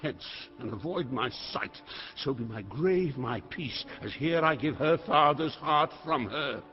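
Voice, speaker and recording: A man's voice: mid-range voice, lively intonation, a little slow, lightly acted. An actor playing a proud, rash old king who declaims grandly in classical Shakespearean stage style. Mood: cold, wounded. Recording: muffled, long hall reverb.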